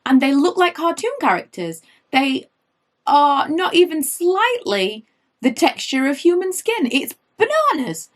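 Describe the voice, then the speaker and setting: A woman in her late thirties, slightly hoarse from losing her voice, chatting through her makeup favourites and wandering off on tangents. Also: high voice, a little slow. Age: late 30s. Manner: rambling, goes off on tangents; animated, rises when indignant. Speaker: beauty vlogger.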